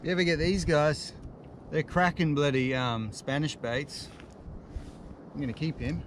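A young Australian man giving relaxed, mumbled running commentary to himself while fishing from a kayak at sea, recorded on a mounted action camera. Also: fairly high pitch, a little slow, noisy background. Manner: relaxed, mutters to himself, laid-back slang. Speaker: fishing vlogger. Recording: music playing under the voice. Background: music over the talk.

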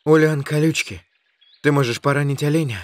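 A man's voice: on the high side, a little fast, dry recording, natural voice. A man voicing a male character in a dubbed children's forest adventure cartoon. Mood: cautioning. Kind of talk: animated children's cartoon dub.

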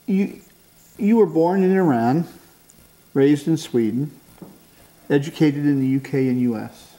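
Guy in his 60s doing interviewer stuff, relaxed mellow voice, measured phrasing. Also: on the high side, low-quality recording. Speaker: interviewer, host. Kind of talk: interview hosting, measured introduction and questions. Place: living room of a house.

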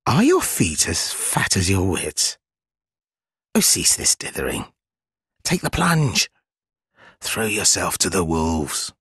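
A wildly swooping, sly spider trickster. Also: male voice, low voice, wildly swooping pitch, slow, pauses often, a bit bright, slightly rough, dry recording, studio quality, full character voice. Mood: mocking. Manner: theatrical, wheedling, archaic phrasing, cackling. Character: sly, gleeful, obsequious spider-bodied trickster zealot. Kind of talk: dark gothic fantasy game acting.

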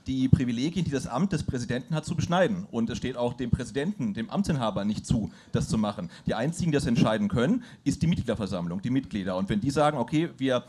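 Fast-talking man arguing on a panel. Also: mid-range voice, warm tone, husky. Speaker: guest on a football panel. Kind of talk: live panel discussion. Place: pub stage before a live audience. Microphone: handheld mic.

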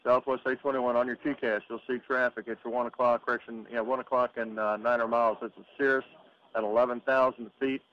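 A man working as an approach controller, making steady, polite requests over the radio with small hesitations. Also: medium pitch, brisk. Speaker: air traffic controller. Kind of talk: radio phraseology. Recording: muffled radio transmission with hiss.

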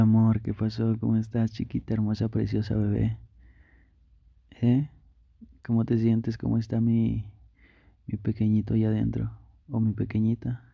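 A man's voice: fairly low pitch, vocal fry, no echo, lo-fi sound. A man playing a doting, anxious young boyfriend in an intimate ASMR roleplay, tender and reassuring, repeating his words insistently. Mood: tender, affectionate.

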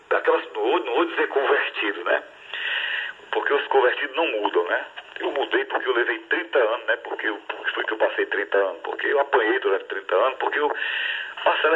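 A man chatting opinionatedly over a ham radio link, talking fast and conversationally. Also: mid-range voice, slightly rough. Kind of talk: casual chat over two-way radio. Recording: tinny radio transmission.

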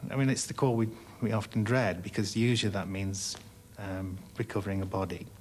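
A man in his forties looks back on events in an interview. His voice is fairly low, and there is clear noise in the recording. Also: steady tone, a little fast, a little mellow. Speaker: cave rescue diver, interviewee. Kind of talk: reflective interview recollection.

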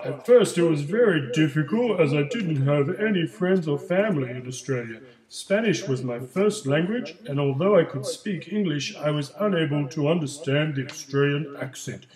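A man playing an older male Chilean migrant who tells his story in a plain, steady way, in a school project role-play interview. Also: a little high, echoey room, natural voice, low-quality recording.

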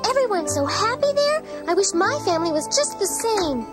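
Very high, eager little cartoon child. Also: female voice, sing-song, moderate pace, over loud music. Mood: wistful. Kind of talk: cartoon character acting.

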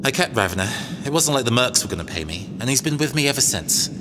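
Guy acting cocky but cagey mech engineer in sci-fi audio drama, wry, swingy voice, loud ambience. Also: medium pitch, animated, fast, long reverb tail, noisy background, natural voice. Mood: wry, recounting. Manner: wry, hesitant, conversational. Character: cagey, self-assured male robot engineer mercenary in hiding.

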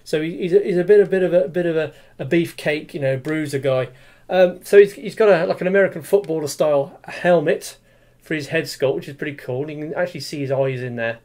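A man's voice: on the high side, fairly quick, a little mellow. A man, casually reviewing a toy in a small room, rambling with lots of fillers and restarts. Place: small room with display cabinet, indoors.

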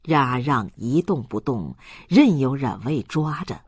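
An audiobook narrator reading a novel in a measured, expressive storytelling style. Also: medium pitch, slightly rough, no room sound, studio quality. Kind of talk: scripted novel narration, slow dramatic storytelling.

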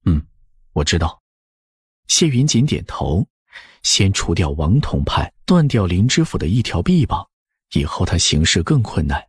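Male audiobook narrator, very raspy low register, lively intonation. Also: normal speed, dry recording, clean studio sound. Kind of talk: scripted novel narration.

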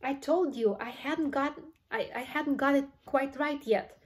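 A woman's voice: high-pitched, unhurried, pitched above the performer's own voice. A woman voicing a fretful, apologetic male candy inventor who sighs and stammers over his words. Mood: anxious fretting. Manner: sighing, stammering repetitions.

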